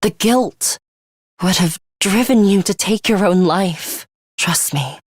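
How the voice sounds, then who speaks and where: Video game role: composed, coolly ruthless young female student fighter, deliberate slow delivery, dry studio sound. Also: medium pitch, dry recording. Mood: grave, quietly devoted. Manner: measured, serious, deliberate. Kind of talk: English video game character voice lines.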